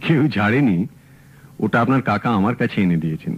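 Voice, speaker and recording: An actor playing a sharp young male private detective in a radio drama, asking brisk, probing questions. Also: fairly low pitch, animated, husky. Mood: calm, probing. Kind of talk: 1970s radio drama acting. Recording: dull old radio broadcast.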